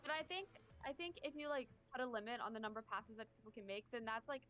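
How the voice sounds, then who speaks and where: Fast-talking woman, hedging and unsure. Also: on the high side, lively intonation, fairly bright tone, muffled recording, soft background music. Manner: quick, unsure, often hedging. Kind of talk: casual voice chat discussion.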